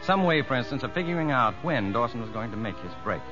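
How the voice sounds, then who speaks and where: An actor playing an earnest, rueful prison psychologist in a 1950s radio drama, speaking and narrating in a measured, educated way. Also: male voice, medium pitch, expressive, lightly acted. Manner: measured, educated, gently self-deprecating. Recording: muffled old radio broadcast with music.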